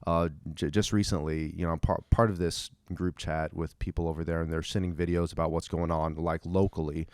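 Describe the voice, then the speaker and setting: A man's voice: low-pitched, level tone, fairly quick, darkish tone, smooth, no echo. A young man who grew up in Ukraine, co-hosting a podcast and explaining things in an informal, earnest way. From grew up in Ukraine, from an American missionary family. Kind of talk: casual, earnest explanatory talk.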